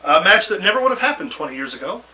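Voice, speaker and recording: A man's voice: high voice, big pitch swings, very fast, bright, forceful tone, recorded from a distance, rough recording. A man hosting a wrestling talk show with boisterous, jokey, profane banter and casual predictions.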